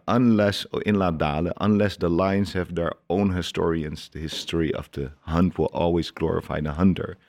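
A man speaks reflectively as an interviewee, in a deep voice with heavy creak. He pauses to find words and slips into English phrases. The sound is close and dry. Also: no room sound. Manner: hesitant, emphatic, drops in English phrases. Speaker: interviewee, activist.

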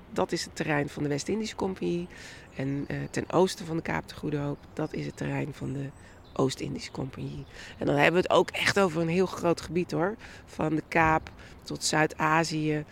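A woman with a creaky voice, explaining history as an interviewed expert in a halting, conversational way. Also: sits low, fairly bright tone, a little hiss or hum. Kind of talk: informal spoken explanation.

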